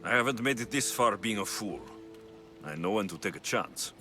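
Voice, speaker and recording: A sardonic, watchful Greek mercenary from a video game, played by a man. His delivery is dry and teasing, with widely moving intonation. Soft music sits behind the dialogue. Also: fairly low pitch, expressive, moderate pace, close to an everyday voice. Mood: businesslike. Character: wry, guarded male Greek mercenary, sardonic but protective. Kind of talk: video game cutscene acting.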